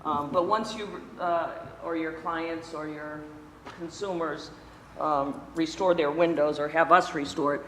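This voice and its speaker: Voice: medium pitch, fairly even intonation, measured pace, a bit bright, big-room sound, a little hiss or hum, low-quality recording. A window restoration instructor giving a hands-on workshop demonstration, explaining each part step by step while handling tools, with chatty self-deprecating asides to a live room. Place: indoor workshop room before an audience.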